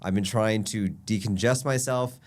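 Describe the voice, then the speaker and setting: A man's voice: fairly low pitch, normal speed, darkish tone. A man with a stuffy, nasal voice from allergies, a doctor explaining health tips in an upbeat casual chat. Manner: upbeat, explanatory, lists points. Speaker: physician guest.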